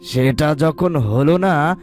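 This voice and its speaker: A menacing cartoon sorcerer, acted out by a man, speaks in a fairly high voice at a slow, deliberate pace. He boasts and bullies as he threatens. Light music plays behind him. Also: darkish tone. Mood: threatening, gloating. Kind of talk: cartoon villain acting.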